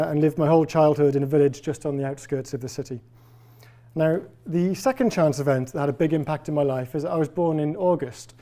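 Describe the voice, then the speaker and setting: Man in his forties, faint Welsh accent, slightly high and warm-toned; relaxed, wry lecturer. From Wales. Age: 40s. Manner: conversational, wry and self-deprecating. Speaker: professor giving a lecture. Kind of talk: public lecture. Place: lecture hall with a live audience.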